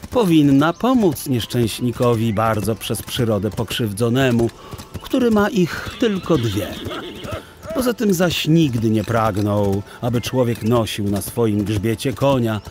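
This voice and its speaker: A man narrating a children's audiobook in an expressive, theatrical storytelling style with deliberate phrasing. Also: mid-range voice.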